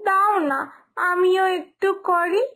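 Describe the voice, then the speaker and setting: A woman's voice: very high voice, steady tone, slow, bright, forceful tone, exaggerated voice. A woman voicing a playful, naive little village girl in a cartoon moral story, coaxing and pleading. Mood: eager pleading.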